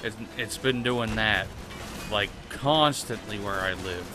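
A man's voice: fairly low pitch, slow pace, music in the background. A man hosting a gaming channel, talking casually over gameplay with a stuffy nose, rambling and swearing at the game. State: stuffy nose from sinus trouble. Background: game gunfire and vehicles.

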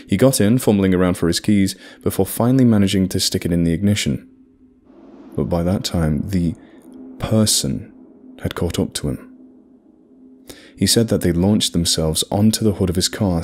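Man, very deep voice, measured horror narration, faint background hiss. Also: a little mellow. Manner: low, measured storytelling delivery. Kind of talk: scripted horror story narration.

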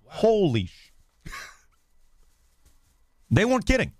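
A young man hosting a casual radio show, talking in an excited, crude, emphatic way into a close studio microphone. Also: high-pitched, slow pace, no room sound. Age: young adult.

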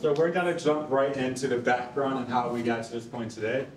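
A man's voice: medium pitch, fairly quick. Casual young man presenting, distant and echoey. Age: young adult. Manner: casual, off the cuff. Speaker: presenter and artist. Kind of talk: loose semi-scripted live presentation. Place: large concrete rehearsal hall. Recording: echoey hall, distant, faint background noise.

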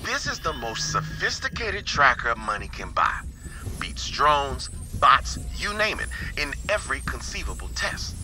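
A brash smuggler from a video game, mid-pitched and very lively in intonation. He talks in a folksy, irreverent way and jokes like a seasoned gun-for-hire, with music playing clearly behind him. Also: unhurried, rough recording. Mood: boastful. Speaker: video game quest giver. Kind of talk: video game character acting.

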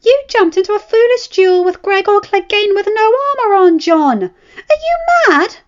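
A woman voicing a pregnant young noblewoman, sharp-tongued and fierce, with a high, heated scolding manner, read as a storybook. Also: animated, unhurried. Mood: angry. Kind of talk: storybook reading. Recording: slightly dull lo-fi recording.

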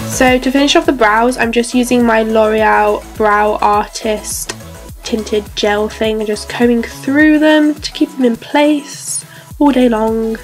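A woman's voice: fairly high pitch, level tone, slow, faint music behind. Bubbly young woman, stuffy nose. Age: young adult. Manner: chatty, bubbly, lots of "so yeah". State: congested from a cold. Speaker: beauty vlogger. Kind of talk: makeup tutorial voiceover. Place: bedroom with clothes rail.

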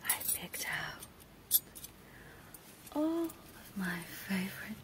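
A woman voicing a creepy evil clown dentist in a menacing, teasing, breathy whisper for an ASMR horror roleplay. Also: mid-range voice, big pitch swings, background noise. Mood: gleeful menace. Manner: slow, teasing, menacing, drawn-out phrases. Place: small dark room indoors, close to the mic.

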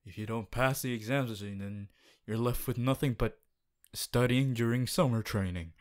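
A man's voice: mid-range voice, moderate pace, close to an everyday voice. A man voicing a strict, earnest teenage class president, formal and preachy, in an audio roleplay. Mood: earnest pleading. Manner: formal, preachy, exasperated, stiff phrasing. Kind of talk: ASMR character audio roleplay monologue.

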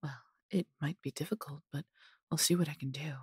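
A woman voicing a possessive, haughty yet doting female dragon in an intimate audio roleplay, condescending and theatrical, breathy and husky, often dropping to a whisper. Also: low-pitched, steady tone, fairly quick, a little mellow, no echo, studio quality. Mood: apologetic, doting. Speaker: voice actor.

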